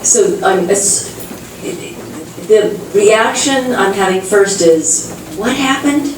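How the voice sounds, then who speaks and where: A woman giving an informal, halting reaction at a public discussion. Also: medium pitch, slight background noise. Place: public meeting hall. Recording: distant mic in very echoey hall.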